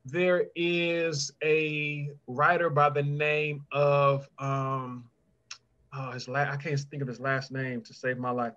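A man's voice: a little high, flat delivery, slow pace, pushed and bright, clean tone. A middle-aged man speaking as a guest author, chatting encouragingly and directly to children on a video call. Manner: conversational, addresses children directly by name. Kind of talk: encouraging question-and-answer talk to children. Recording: dull, muffled video call audio.

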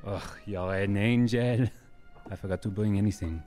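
A man's voice: lowish voice, fairly even intonation, moderate pace, music in the background, lightly acted. An actor playing a thoughtful, idealistic young Frenchman, earnest and reflective, in a radio drama. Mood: friendly, light.

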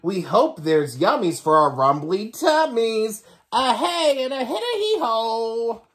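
A man's voice: very high voice, sing-song, takes it slowly, fairly bright tone, voice pushed up, heavily acted. A man voicing hungry marching ants from a storybook in a sing-song rhyming chant. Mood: eager chanting.

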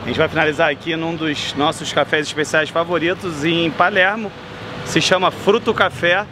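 A man's voice: fairly high pitch, fairly even intonation, normal speed. A man in his thirties with a Brazilian accent, enthusiastically chatting through a travel vlog, filmed on a handheld camera at arm's length outdoors. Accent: Brazilian Portuguese. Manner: enthusiastic, chatty, lists things off. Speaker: travel vlogger. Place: city sidewalk and café terrace outdoors. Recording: street noise behind.

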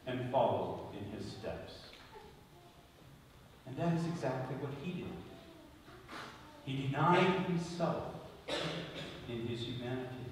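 A man preaching a homily as a bishop, solemn and deliberate, speaking phrase by phrase with emphatic stresses in a large church. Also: a little high. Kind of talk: sermon. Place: large stone-walled church, at the ambo before a congregation. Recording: very echoey church, distant mic, faint noise.

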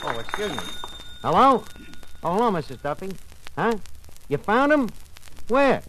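An actor playing a brash, bumbling tavern manager in an old-time radio comedy, mangling fancy words in streetwise, ungrammatical talk. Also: male voice, high voice, big pitch swings, normal speed, a bit bright, slight background noise, poor audio. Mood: pleading.

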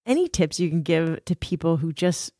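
A woman's voice: low-pitched, animated, normal speed, dark tone, dry recording, studio quality. A woman hosting a podcast, asking curious, upbeat questions. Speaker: podcast host. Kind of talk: interview questions.

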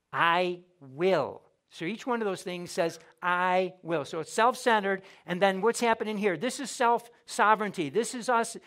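High-voiced man preaching emphatically. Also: fairly bright tone. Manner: emphatic preaching, rising and falling in volume, repeats words. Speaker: pastor. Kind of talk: sermon. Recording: audio of a church sermon.

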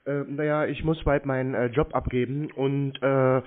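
A man chatting casually and deadpan on a prank call, contrary and teasing. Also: medium pitch, normal speed. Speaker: scambaiting prankster taking scam calls. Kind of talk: casual prank phone conversation. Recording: tinny narrow-band phone line.

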